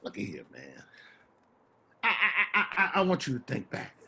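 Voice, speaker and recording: An actor playing a rambling, wheedling homeless man with American street slang and a husky, stammering delivery, in a stage play reading. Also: on the high side, huge pitch swings, moderate pace, sharp tone, full character voice. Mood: argumentative. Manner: stammering, repeats words, folksy street slang.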